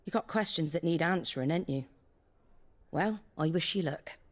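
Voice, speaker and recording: An actress playing an earthy, compassionate innkeeper rebel in a video game, with a regional English accent and plain, folksy speech. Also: female voice, sits low, normal speed. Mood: warm, encouraging. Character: Martha, earthy outspoken innkeeper woman, secretly compassionate rebel. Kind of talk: dramatic video game acting. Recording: music behind the voice.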